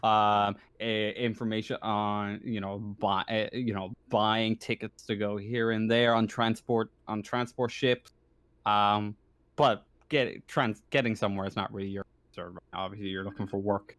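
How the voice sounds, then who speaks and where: A man's voice: lowish voice, steady tone, a bit bright, no room sound. A man running a tabletop game as game master, improvising and stammering as he rephrases himself. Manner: halting, stammering, rephrasing often.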